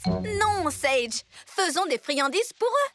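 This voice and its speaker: A woman's voice: very high voice, very animated, takes it slowly, dry recording, heavily acted. A woman voicing a friendly, cheerful, helpful young girl in a French cartoon dub. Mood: upbeat. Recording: loud music behind the voice.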